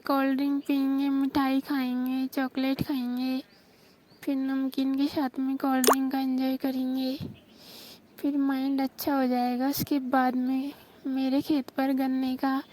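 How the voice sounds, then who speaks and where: A woman's voice: high-pitched, monotone, a little slow, mellow, a little hiss or hum. A woman from Rajasthan on a recorded phone call, teasing and bargaining in a coy, flirtatious way.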